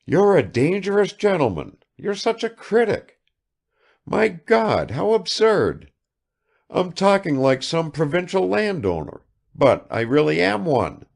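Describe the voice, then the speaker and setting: A man's voice: high-pitched, very animated, gravelly, a little mellow, pitched above the performer's own voice, exaggerated voice. A man reading aloud and voicing an affected, chattering provincial woman who fires off questions without waiting for answers. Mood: gushing chatter. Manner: gushing, rapid-fire questions.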